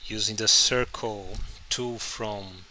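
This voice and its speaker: A man whose first language is Portuguese, chatting casually on a live stream while he draws, halting and restarting often. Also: lowish voice, fairly even intonation, bright, forceful tone, a little hiss or hum. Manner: halting, many restarts and fillers. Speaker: comic artist streaming his drawing.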